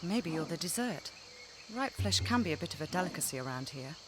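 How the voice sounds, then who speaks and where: A woman's voice: deep voice, big pitch swings, a little fast, heavy background noise. A woman playing a cool, fearless adventurer with a dry, sardonic manner in a video game. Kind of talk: video game cutscene acting. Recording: loud music under the voice.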